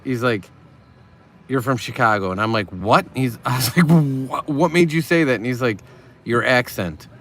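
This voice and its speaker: Chatty middle-aged man, mid-pitched. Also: moderate pace, fairly bright tone. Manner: chatty and upbeat, laughs easily, addresses viewers by name. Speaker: livestream host. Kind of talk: casual live chat reading viewer comments.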